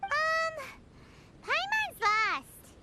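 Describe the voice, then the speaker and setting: Tiny bubbly fairy girl, extremely high squeaky voice, wildly animated, game cutscene, music behind. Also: drawn-out words, very noisy, full character voice. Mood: puzzled. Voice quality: squeaky, childlike. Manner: bubbly, exclamatory, refers to self by name. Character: tiny excitable childlike floating fairy companion girl. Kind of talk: video game cutscene acting.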